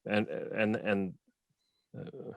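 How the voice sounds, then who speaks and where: A man's voice: lowish voice, level tone, extremely slow, gravelly, dry recording. A man speaking as a panelist in a casual video call chat, joking and offhand.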